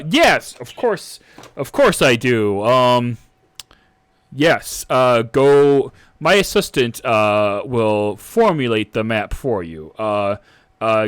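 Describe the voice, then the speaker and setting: A man's voice: medium pitch, clean tone, dry recording. A flustered captain who stalls, restarts and rambles through his orders, played by a man. His voice climbs and drops a lot, and it sits close and dry on the mic. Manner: hesitant, rambling, full of restarts. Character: flustered, hesitant male captain.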